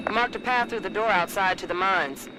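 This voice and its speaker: An actor playing a casual, alert soldier in a video game squad, with an informal, colloquial way of talking. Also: male voice, high-pitched, brisk, background noise, natural voice. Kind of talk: video game cutscene acting.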